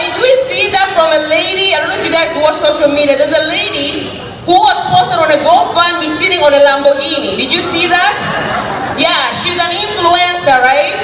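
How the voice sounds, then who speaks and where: A woman from Tanzania, giving an energetic stage speech and calling out to the audience at a business convention. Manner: high-energy, shouting out to the audience, calls for crowd response. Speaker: motivational speaker at a business convention. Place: large convention hall. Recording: echoey hall with a loud crowd.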